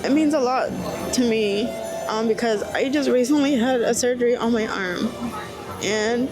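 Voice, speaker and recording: A woman's voice: on the high side, moderate pace, music in the background, rough recording. A woman giving an unscripted personal account as an interviewee.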